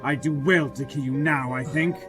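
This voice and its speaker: Man playing a high-voiced, menacing villain. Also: fairly even intonation, normal speed, a bit bright, soft background music, lightly acted. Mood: cold menace. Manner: theatrical, menacing, grandiose. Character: megalomaniac German Nazi villain, cruel and menacing. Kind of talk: audio drama acting.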